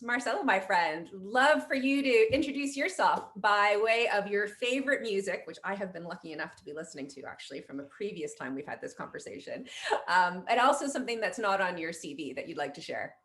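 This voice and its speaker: A woman's voice: medium pitch, animated, fairly quick, a bit bright. A woman hosting a panel over a video call, inviting guests to introduce themselves with encouraging remarks. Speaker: host, moderator. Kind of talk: moderating a panel.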